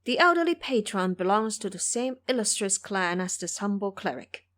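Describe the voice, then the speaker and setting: Woman voicing gentle, formal male monk. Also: mid-range voice, sing-song. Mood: respectful. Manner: formal, humble, measured. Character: gentle, courteous male Tang Buddhist monk.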